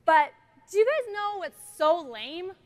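A middle-aged woman presents a product demo on a big conference stage, talking through a headset mic. Her voice sits high, and she keeps the delivery bright and playful, slipping jokes between features. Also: very animated, a little slow.

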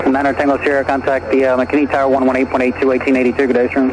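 A man's voice: mid-range voice, monotone, rapid-fire. A man working as an air traffic controller, giving procedural instructions over aviation radio.

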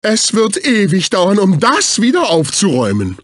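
A man's voice: sits high, huge pitch swings, moderate pace, dry recording, studio quality, heavily acted. A male actor voicing a fussy, snobbish, servile executive assistant in a dubbed cartoon video game, with a prissy, condescending, exclamatory delivery. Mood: distressed, whiny. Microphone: close studio microphone.

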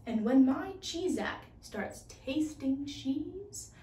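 A bossy, scornful inventor from a children's storybook, acted out by a teenage girl. The voice is high and mellow, with haughty, deliberate phrasing. It sounds roomy and a little away from the mic. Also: expressive. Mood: scornful, scheming. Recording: roomy, distant microphone.

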